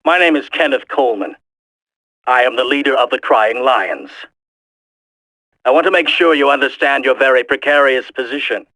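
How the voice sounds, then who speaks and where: Male actor as game villain, terrorist commander, tense sharp tone, medium pitch, formal, resolute, threatening. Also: moderate pace, long pauses, dry recording, close to an everyday voice, low-quality recording. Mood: cold menace. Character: menacing, coldly resolute male terrorist leader. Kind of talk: video game villain monologue.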